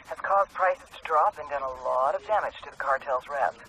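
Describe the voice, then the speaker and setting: A man's voice: fairly high pitch, fairly quick, sharp tone, a little hiss or hum, rough recording. A man playing a businesslike intelligence handler in a video game, giving terse briefings. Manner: terse, matter-of-fact.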